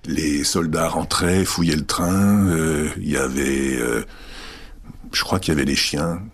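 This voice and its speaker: A man in his fifties speaks in a very low, husky voice. He answers an interviewer slowly and hesitantly, full of fillers and restarts. He is from Czechoslovakia, raised in France. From born in Czechoslovakia, raised in France. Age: 50s. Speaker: guest.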